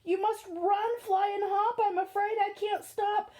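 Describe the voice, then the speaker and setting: A woman voicing a big, fretful male elephant in a storybook reading, talking in a high, fluttery, pleading way. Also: fairly even intonation, unhurried, darkish tone. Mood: apologetic.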